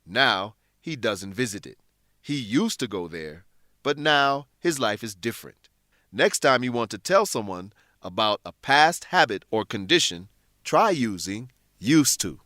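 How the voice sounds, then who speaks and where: Lively male English-lesson narrator. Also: mid-range voice, no echo, clean studio sound. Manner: instructional, deliberate phrasing. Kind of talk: scripted educational narration.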